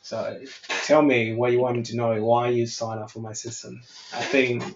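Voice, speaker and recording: A man's voice: mid-range voice, little pitch movement, moderate pace, slight background noise. A man from Vietnam speaks with a mixed accent that blends Vietnamese, American and Australian sounds. He talks casually and frankly, swearing now and then and restarting his thoughts. His voice sits in the middle of the male range, and the call audio is roomy and muffled. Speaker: English conversation tutor. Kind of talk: casual online chat.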